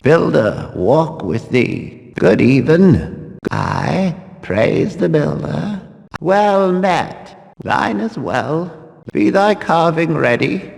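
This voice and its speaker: An actor playing a gruff, pious, suspicious temple guard in a video game, with a gravelly voice and formal archaic declamation. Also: male voice, a little high, very animated, drawn-out words, a little mellow, exaggerated voice. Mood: solemn greeting. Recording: long hall reverb.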